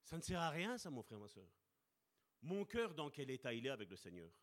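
A man preaching a sermon with passion, slowing down to stress key words and calling out to his brothers and sisters. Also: mid-range voice, very animated. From Italian heritage. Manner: impassioned, addresses congregation as brother and sister.

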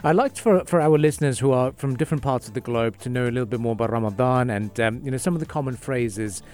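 Fast-talking enthusiastic man radio host. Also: medium pitch, darkish tone. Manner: enthusiastic, quick interjections. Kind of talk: radio interview.

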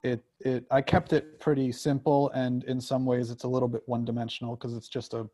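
A man speaking as a screenwriter in casual discussion, halting and often repeating words. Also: medium pitch, little pitch movement, dark tone, no echo. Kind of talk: casual discussion of own work.

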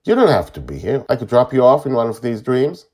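A man's voice: medium pitch, very animated, fairly quick, darkish tone, voice pushed up. A man voicing a determined, bossy ten-year-old girl in a storybook adventure, speaking firmly and insistently. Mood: firm, sharp. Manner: firm, insistent.

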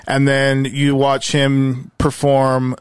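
A man's voice: mid-range voice, completely flat delivery, slow, smooth, no echo, studio quality. A man hosting a podcast in a casual chat, swearing often and rambling with fillers and restarts. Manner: loose and profane, rambling with fillers. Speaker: podcast host; musician.

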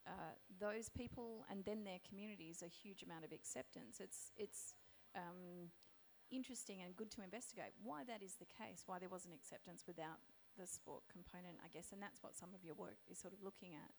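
A woman from Australia moderating a panel discussion, her voice croaky, talking in a thoughtful, halting way with many fillers. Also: lowish voice, slight background noise, rough recording.

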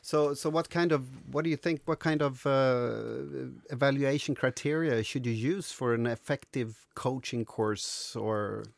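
A man's voice: mid-range voice, unhurried, creaky, hardly any pauses, no room sound, clean studio sound. A man with a Swedish accent hosting a podcast in English, hesitant with many fillers. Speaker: podcast host. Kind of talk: casual podcast interview.

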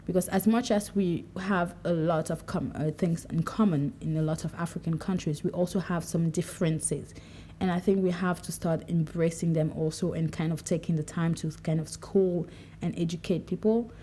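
A young woman from Cameroon speaking in a relaxed, reflective monologue, livening up when she quotes herself. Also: lowish voice, normal speed, slight background noise. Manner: conversational, animated when quoting herself. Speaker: podcast speaker.

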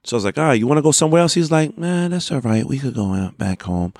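A man's voice: mid-range voice, sing-song, fast talker, darkish tone, no room sound, clean studio sound. Wisecracking man telling a story. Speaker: guest. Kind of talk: casual group chat.

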